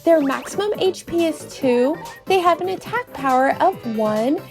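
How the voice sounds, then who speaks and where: A woman's voice: high voice, expressive, normal speed, music in the background. A woman voicing a sassy, bookish young female game creature with a sarcastic, indignant manner.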